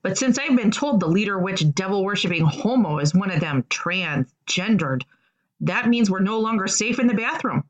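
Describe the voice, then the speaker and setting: A pious, gullible female correspondent played by a woman in a radio news parody. She speaks at a medium pitch, earnest and submissive, stating things matter-of-factly. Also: animated, normal speed, highs cut off, natural voice.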